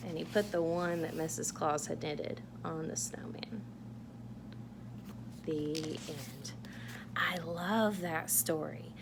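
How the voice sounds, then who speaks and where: A woman's voice: low voice, slow, pauses often. A woman reading a picture book aloud to children, with casual asides and questions to her listeners. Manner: engaging, asks the listeners questions. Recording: clear background noise.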